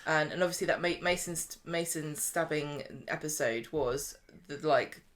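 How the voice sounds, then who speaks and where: A woman's voice: sits low, normal speed. A woman co-hosting a casual podcast chat, talking in a chatty way with lots of restarts. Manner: chatty, laughs easily, many restarts. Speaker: podcast co-host.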